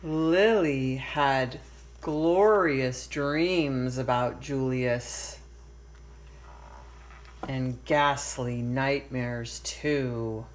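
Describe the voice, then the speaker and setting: A woman reading a children's storybook aloud as narrator, expressive and deliberate. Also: a bass voice, a little hiss or hum. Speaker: storybook reader.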